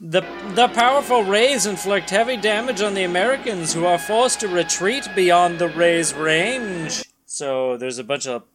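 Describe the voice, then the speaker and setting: A man with a fairly high voice hosts a comic read-along. He moves between casual riffing and dramatic narration, with clear music behind him. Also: unhurried, few pauses. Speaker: podcast host and narrator.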